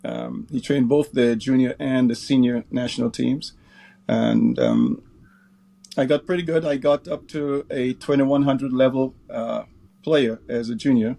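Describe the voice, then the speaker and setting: Older man from Guyana, sixties, interview guest; low gravelly warmth, unhurried, fills pauses. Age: 60s. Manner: unhurried, many uh fillers. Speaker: guest, pickleball referee director. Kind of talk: interview answers, recollecting.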